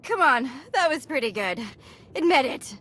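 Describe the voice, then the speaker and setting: A woman voicing a gleeful, taunting machine for a video game, announcing deliberately with playful jabs. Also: sits high, sing-song, a bit bright, a little hiss or hum. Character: gleeful taunting female machine voice counting down to detonation.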